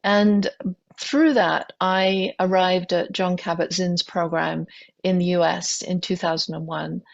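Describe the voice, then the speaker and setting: Older British woman physician, low-ish voice, muffled call-quality sound, reflective and hesitant. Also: fairly even intonation, unhurried, gravelly. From Britain. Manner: thoughtful, many ums and restarts. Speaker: physician guest. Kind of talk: conversational interview, reflective storytelling.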